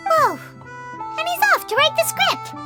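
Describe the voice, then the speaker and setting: Squeaky, cheeky tiny fairy. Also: female voice, expressive, unhurried, over loud music. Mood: amazed. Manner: squeaky, cartoonish, speaks in third person. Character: tiny, cheeky, childlike female fairy companion. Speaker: voice actor. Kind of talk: animated game dialogue.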